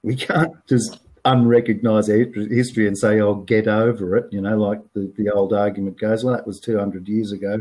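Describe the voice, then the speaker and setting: A man's voice: on the low side, level tone, brisk, warm tone. A man in his sixties with an Australian accent, chatting frankly as an interview guest and often repeating words as he thinks. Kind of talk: casual interview chat.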